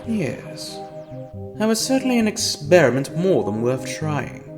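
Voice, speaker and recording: Brief: a man voicing a sardonic, self-styled god of time in an audio drama, slow and deliberate, pitch swinging widely. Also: big pitch swings, over music. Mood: smug. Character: scheming, self-important male time-meddler posing as savior. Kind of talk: fan audio drama acting.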